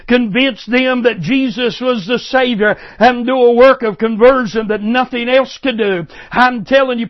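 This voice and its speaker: Fervent high-voiced older preacher, lo-fi. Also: male voice. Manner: impassioned, repetitive, directly addressing listeners as sir and ma'am. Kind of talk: fervent revival sermon. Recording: muffled lo-fi recording.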